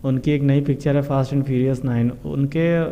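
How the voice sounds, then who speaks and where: Very dark-voiced Indian man explaining news. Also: medium pitch, steady tone, unhurried, a little hiss or hum. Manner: explanatory, repetitive, mixing in English terms. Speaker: host of a current affairs explainer show. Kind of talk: informal news explainer commentary addressed to viewers. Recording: dull, muffled lo-fi sound.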